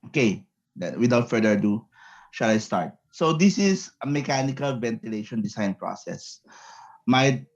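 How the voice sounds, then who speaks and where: A Filipino-accented man gives a webinar lecture over a video call, halting, repeating himself, and switching between English and Tagalog. His voice sits in a middle pitch range. The audio is dull and muffled, like a compressed call. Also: animated. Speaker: guest speaker, engineer. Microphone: computer mic on video call.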